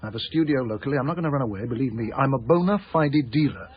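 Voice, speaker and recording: A man plays a smooth-talking, somewhat rueful art dealer in a radio play. He is well-spoken and careful, in a medium-pitched voice with slightly dulled broadcast sound. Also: lively intonation, fast talker, dull audio, lightly acted. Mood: smooth, persuasive. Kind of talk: radio drama dialogue and narration.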